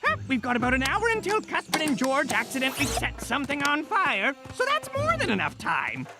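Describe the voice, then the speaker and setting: High, wildly swooping scheming doctor. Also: female voice, huge pitch swings, brisk, full character voice. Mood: scheming, snide. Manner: snide, dismissive. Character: mischievous, scheming evil-genius doctor, snide and impatient. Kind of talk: cartoon voice acting. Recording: music behind the voice.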